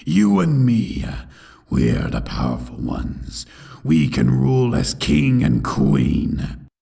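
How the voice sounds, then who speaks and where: A man playing a bitter, menacing pony tyrant in a dramatic comic dub, with a gravelly growl and brooding, theatrical delivery. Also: medium pitch, wildly swooping pitch, slow, mellow, reverberant, exaggerated voice. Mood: menacing triumph.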